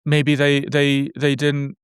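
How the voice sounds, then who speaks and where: A man's voice: fairly high pitch, completely flat delivery, takes it slowly, clean tone, dry recording, clean studio sound. A man hosting an interview, asking long, meandering questions full of hedges and fillers. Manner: rambling, hedging questions full of you know and like. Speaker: interviewer, show host. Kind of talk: casual interview conversation.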